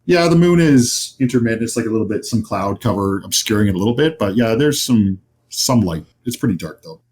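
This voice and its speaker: A man acting as game master, casually describing scenes in a halting, conversational way. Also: fairly low pitch, animated, a little mellow, slight background noise.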